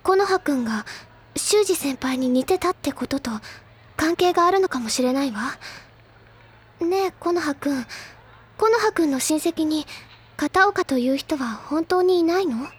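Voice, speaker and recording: An actress playing an excitable, fanciful, stubborn high school girl in an audio drama, with an earnest, theatrical, girlish delivery. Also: moderate pace. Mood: excited, conspiratorial. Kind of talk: audio drama dialogue, anime-style acting.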